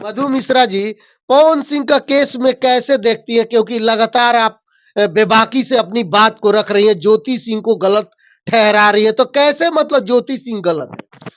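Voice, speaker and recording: A woman's voice: a little high, normal speed. Woman interviewer, dull lo-fi recording. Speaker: interviewer for a web news channel. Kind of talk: conversational interview questioning. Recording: dull, lo-fi sound.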